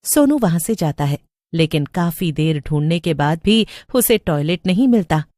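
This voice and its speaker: A woman narrating a cartoon horror story in a steady storybook manner. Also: low voice, moderate pace, no room sound, studio quality.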